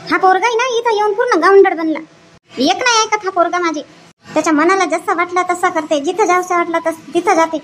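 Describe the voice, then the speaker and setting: A woman voicing a chatty, fussing village woman in an animated family comedy. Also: very high voice, brisk. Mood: agitated.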